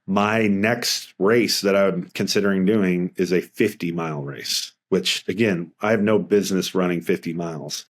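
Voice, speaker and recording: A man's voice: low-pitched, normal speed, hardly any pauses. A middle-aged man speaking as a financial advisor in a casual interview, earnest and rambling with frequent restarts.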